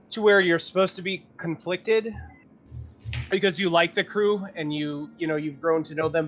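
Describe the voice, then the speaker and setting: Male game player, slightly higher voice, informal and rambling, muffled lo-fi recording with faint noise. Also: fairly bright tone. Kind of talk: casual game debrief, sometimes reading notes aloud. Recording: dull lo-fi audio with faint noise.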